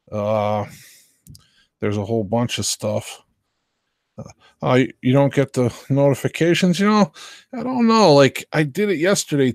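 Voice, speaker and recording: A man's voice: medium pitch, a little mellow, no room sound, clean studio sound. A man hosting a live stream, chatting casually with viewers in a hesitant, unpolished way, often trailing off. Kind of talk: casual rambling chat with viewers.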